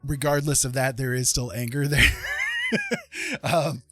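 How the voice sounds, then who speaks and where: A middle-aged American man chatting reflectively on a livestream, speaking earnestly and emphatically with halting pauses. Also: medium pitch, steady tone, bright, forceful tone, dry recording, soft background music. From Indiana, United States. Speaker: livestream host. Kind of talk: casual reflective livestream chat.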